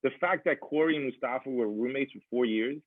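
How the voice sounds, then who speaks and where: A middle-aged man reminiscing casually, halting and repeating himself with many false starts. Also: medium pitch. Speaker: former college athlete. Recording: muffled, dry, narrow-band audio.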